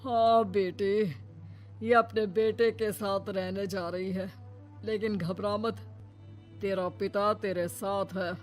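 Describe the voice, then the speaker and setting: A woman's voice: medium pitch, fairly even intonation, slow pace, soft background music, lightly acted. A woman voicing a firm, protective older father-in-law, principled and caring, in a moral story. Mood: reassuring.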